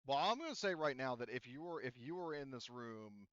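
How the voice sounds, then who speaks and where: A man running a tabletop roleplaying game, explaining things casually in a halting, self-correcting way as game master. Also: mid-range voice, big pitch swings, a bit bright, clean tone, dry recording. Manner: halting, self-correcting, frequent "you know". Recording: dull, lo-fi voice audio.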